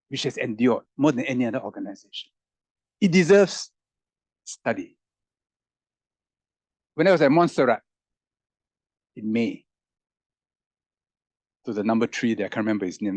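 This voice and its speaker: A man's voice: mid-range voice, a little mellow, no room sound. A man speaking as a roundtable panelist, musing through rhetorical questions with emphatic rises in his voice. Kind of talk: animated reflective public talk.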